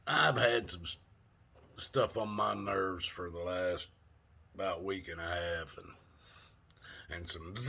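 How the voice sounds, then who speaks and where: A man's voice: sits low, vocal fry, sharp tone, slightly rough. A man in his sixties telling a rambling, folksy story in a casual vlog, laughing often and cursing mildly. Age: 60s. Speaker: vlogger.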